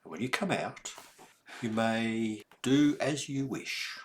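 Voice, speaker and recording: A man voicing a kind, wise hen from a storybook, giving advice in an earnest, deliberate way. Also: mid-range voice, expressive, slight background noise. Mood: earnest advising.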